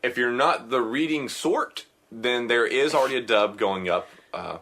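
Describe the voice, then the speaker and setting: Bright-toned joking podcast host, man. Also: medium pitch, normal speed. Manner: upbeat, joking, rambling. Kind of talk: casual podcast chat.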